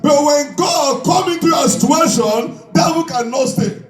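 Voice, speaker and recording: A man delivers a fervent call-and-response sermon in an extremely high register. He repeats his phrases with heavy emphasis and prompts for replies. The sound is echoey and distant, with music behind him. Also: measured pace. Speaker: preacher. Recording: echoey hall PA, distant mic, background music.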